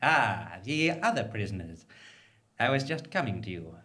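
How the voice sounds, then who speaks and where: A man playing a solemn cult leader and narrator in a live comic horror radio drama, grandiose and measured. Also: lowish voice, very animated, bright, forceful tone. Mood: calm, formal.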